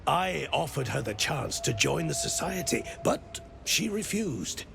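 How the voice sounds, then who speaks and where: Measured scholarly mind flayer. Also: male voice, fairly high pitch, sing-song, creaky, music in the background, heavily acted. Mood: reminiscing. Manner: precise, measured, learned. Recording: echoey reverberant room.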